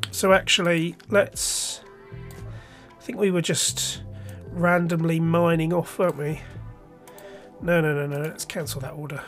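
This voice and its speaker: A man's voice: a little high, a bit bright. A man with a cold and a slightly nasal voice, casually thinking aloud with restarts as he talks through his game. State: stuffy nose from a cold. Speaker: let's play host. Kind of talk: casual gameplay commentary.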